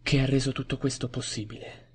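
A man playing an earnest, playful teenage boy hero in an amateur film dub, hushed and intimate. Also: medium pitch, steady tone, brisk, husky. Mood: solemn.

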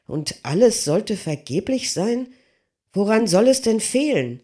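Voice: medium pitch, sing-song, unhurried, voice pushed up. A reader putting on a desperate giant blacksmith. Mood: pleading. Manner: raised, loud, desperate pleading. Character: clumsy giant male blacksmith, childlike and pleading.

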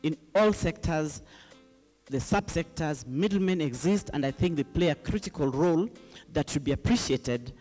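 A woman's voice: low voice, lively intonation, normal speed, mellow, soft background music. Emphatic woman in a reverberant hall. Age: middle-aged. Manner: emphatic, short declarative sentences. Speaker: panelist, company chief executive. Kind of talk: panel discussion remarks. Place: indoor conference stage. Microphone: handheld microphone close to mouth.

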